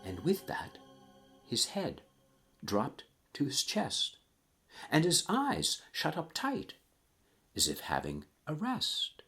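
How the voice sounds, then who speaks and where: A man narrating a story in expressive rhyming verse, as a storyteller. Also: a little high, slow pace, long pauses, soft background music.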